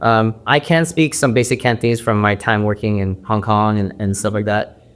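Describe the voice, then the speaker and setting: A man's voice: fairly low pitch, steady tone, normal speed, clean tone. Rambling man, actor guest on a podcast. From United States. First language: Mandarin. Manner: rambling, many fillers and restarts. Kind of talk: casual interview talk.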